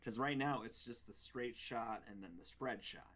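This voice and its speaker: A man speaking as a co-host in a casual review chat, giving measured, hedged opinions. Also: mid-range voice, fairly bright tone. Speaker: gaming show co-host.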